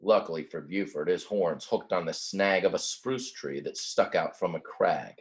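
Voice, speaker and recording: A man with a fairly low voice reads a children's story aloud. He narrates expressively, in the way of a teacher. His explanations are simple and casual. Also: moderate pace. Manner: explains words simply in plain asides.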